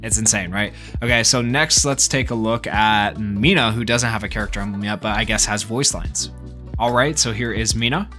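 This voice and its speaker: A man's voice: fairly low pitch, moderate pace, pushed and bright. A man giving casual gaming news commentary as a YouTuber, talking in an informal, speculative, rambling way. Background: background music.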